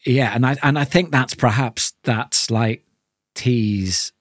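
A man's voice: mid-range voice, slow, no room sound. Man hosting a thoughtful podcast discussion, dry sound. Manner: thoughtful, questioning, seeks agreement. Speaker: podcast host. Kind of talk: casual analytical discussion.

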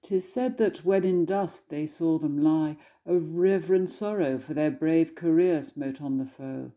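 Slow, low-voiced woman reciting solemn poetry. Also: warm tone. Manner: measured, declamatory. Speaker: scholar reading poetry.

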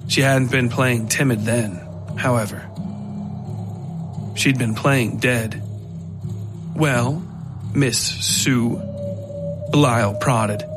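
A man reading a scripted horror story as its narrator. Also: on the low side. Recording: music behind the voice. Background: music.

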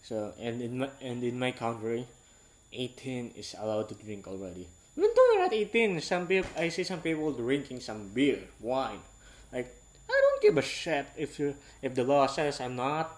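Young man, 18, from Southeast Asia, non-native English speaker, frank and halting, stops to search for words; casual confessional monologue. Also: mid-range voice, wildly swooping pitch. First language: not English. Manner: halting, rambling, frank, self-correcting.

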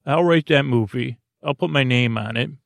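Man, gravelly fry, dry close sound, meandering bedtime podcast host, halting false starts, wandering tangents. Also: medium pitch, no echo. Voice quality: gravelly, creaky. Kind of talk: rambling bedtime podcast monologue.

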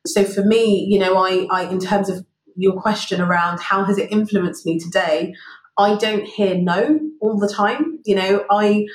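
A woman's voice: mid-range voice, unhurried. A woman from the UK, a guest in a podcast interview, talking reflectively with many "you know"s. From United Kingdom. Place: remote call. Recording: roomy remote recording.

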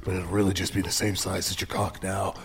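A man acts a grim monster hunter in a very deep, creaky voice. He is stern and no-nonsense, in a comic radio play. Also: level tone, brisk, full character voice. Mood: wary, insistent. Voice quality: gravelly. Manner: stern, insistent. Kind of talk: comedic audio drama acting.

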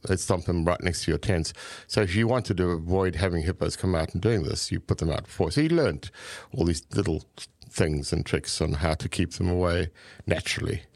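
Deep-voiced middle-aged southern African man. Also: a little fast, creaky, few pauses, a little mellow, no echo. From Zambia and South Africa. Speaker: podcast guest. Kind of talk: conversational storytelling.

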